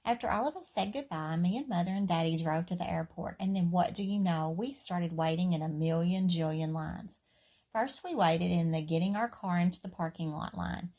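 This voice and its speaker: Woman storyteller, slightly low and husky, playful and expressive, reading a children's chapter book aloud. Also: moderate pace.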